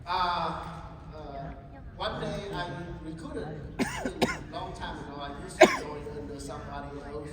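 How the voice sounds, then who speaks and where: A man's voice: a little high, wildly swooping pitch, measured pace, crowd noise. Man lecturing in a big echoey hall. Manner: joking, storytelling, keeps checking in with the audience. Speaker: sales trainer. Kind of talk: motivational sales training talk. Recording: echoey hall, distant mic, background noise.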